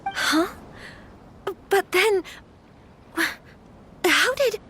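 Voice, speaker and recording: An actress playing a gentle, anxious young noblewoman in a video game, speaking politely and hesitantly. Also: extremely high pitch, lively intonation, extremely slow, a little hiss or hum, full character voice. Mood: startled, confused. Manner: polite, hesitant, soft-hearted and formal.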